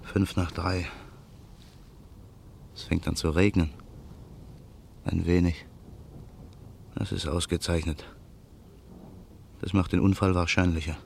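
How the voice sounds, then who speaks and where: An actor playing a vengeful former musician, narrating his calm, measured thoughts in a radio play. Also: sits low, vocal fry, slight background noise, full character voice. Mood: cold. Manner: calm, measured inner monologue. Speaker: narrator and lead character.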